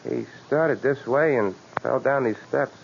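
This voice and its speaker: A blunt working-class fellow with a creaky, gravelly voice and plain colloquial delivery. It is 1940s radio acting on a dull recording with a faint hiss. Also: male voice, medium pitch, a little slow. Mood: somber. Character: blunt working-class male bystander at the morgue.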